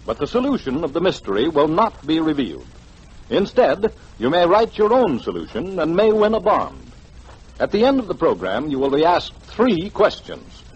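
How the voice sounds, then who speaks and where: Animated, husky 1940s radio announcer man. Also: a little high, normal speed. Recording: dull, lo-fi 1940s radio recording.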